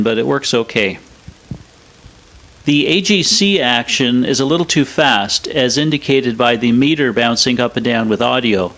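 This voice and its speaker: A man with a mid-pitched voice presents scripted technical narration. He speaks in a measured, matter-of-fact manner, explaining things step by step. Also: fairly bright tone. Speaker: presenter of a vintage radio restoration video.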